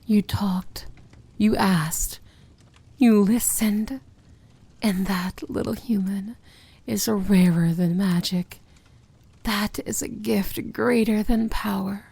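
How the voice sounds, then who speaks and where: A woman playing a feared, possessive and tender villain princess in an intimate ASMR fantasy romance roleplay, speaking deliberately in a breathy voice that often sinks into a whisper. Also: mid-range voice, steady tone, mellow, no echo, heavily acted. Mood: possessive, commanding. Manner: slow, deliberate, commanding yet tender.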